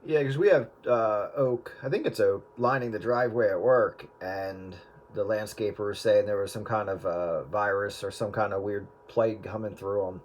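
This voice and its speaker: A man chatting casually in a group with a joking, deadpan manner. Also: on the low side, normal speed. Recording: very loud background noise, radio-like.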